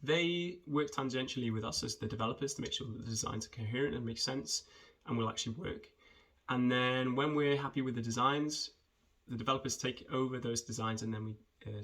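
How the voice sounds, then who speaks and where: A man, talking in a friendly, conversational way with hesitant pauses as he introduces himself and his work for students. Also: mid-range voice, fairly bright tone. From rural southwest Wales. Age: 20s. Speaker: junior footwear developer. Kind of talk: informal self-introduction video for students.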